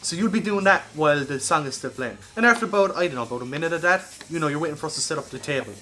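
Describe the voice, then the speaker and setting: A man's voice: a little high, lively intonation, rapid-fire, bright, forceful tone. A man hosting a podcast, casually and insistently explaining a comedic scheme, with some swearing. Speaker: podcast host.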